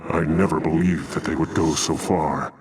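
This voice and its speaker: A man's voice: very deep voice, fairly even intonation, moderate pace, slightly rough, far from the mic. A man voicing a noble, brave, sorrowful alien templar commander, earnest and formal with impassioned gravity, for a video game. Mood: grim resolve.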